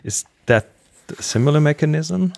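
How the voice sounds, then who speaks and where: A man's voice: fairly high pitch, lively intonation, moderate pace, creaky, a little mellow, no room sound. A middle-aged man co-hosting a podcast, casually thinking aloud in an inquisitive, conversational way. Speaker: podcast co-host. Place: indoor room on a video call. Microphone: close microphone, headset.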